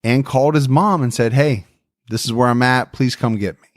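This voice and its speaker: A man hosting a true crime podcast, telling the story in a relaxed, friendly way and chatting with his co-host. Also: medium pitch, normal speed, dry recording, clean studio sound.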